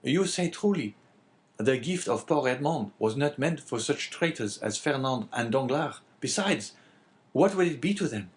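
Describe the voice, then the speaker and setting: A man's voice: medium pitch, animated, measured pace, pitched above the performer's own voice, close to an everyday voice. A man voicing a bitter, wavering, talkative innkeeper in an audiobook, with a plaintive and emphatic manner. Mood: resigned.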